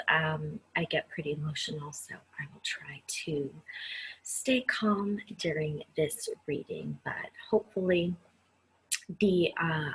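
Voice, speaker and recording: A woman with a breathy voice reads a story aloud expressively, speaking directly to young listeners and adding her own asides. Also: on the low side, a bit bright, rough recording. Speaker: children's story reader.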